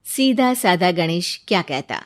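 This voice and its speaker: A woman's voice: lowish voice, animated, measured pace. A woman narrator reading a scripted moral story for a cartoon.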